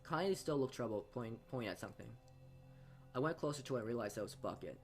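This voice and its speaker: A man's voice: medium pitch, expressive, rapid-fire, darkish tone. A man hosting a gaming video, reading a visual novel aloud with casual asides and often stumbling over or repeating words. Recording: music behind the voice. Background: game background music.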